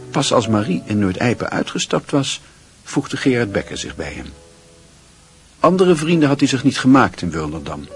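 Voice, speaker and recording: A man narrating a radio play in a measured, literary storytelling manner. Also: on the low side.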